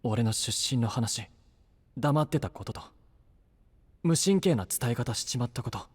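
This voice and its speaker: An actor playing a brash, rough-talking young knight and younger brother in an anime-style voice drama. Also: male voice, medium pitch, lively intonation, unhurried, in a large hall. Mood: pleading, remorseful. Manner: blunt, rough masculine speech.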